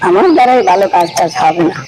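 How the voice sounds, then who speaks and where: A man's voice: sits high, fast, noisy background, natural voice. A man playing a sly, scheming fox in a cartoon, a glib and bossy big brother. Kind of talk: cartoon dialogue.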